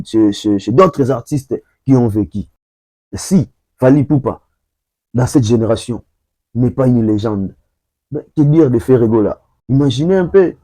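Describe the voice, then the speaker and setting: A man from Congo giving emphatic opinion commentary, repeating himself and pausing often with hesitations. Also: mid-range voice, dark tone, no echo. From Congo (DRC). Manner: emphatic, repetitive, hesitant with many fillers.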